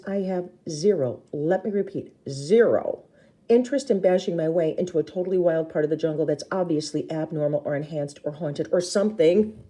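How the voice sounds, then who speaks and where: A woman reading a children's novel aloud like a teacher, with expressive emphasis and brief explanations. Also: on the low side.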